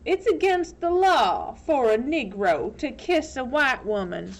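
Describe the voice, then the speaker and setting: A woman voicing a drunken, lecherous male small-town sheriff with a slow, smug drawl in a storybook reading. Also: sits high, sing-song, voice pushed up, full character voice. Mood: drunken leering.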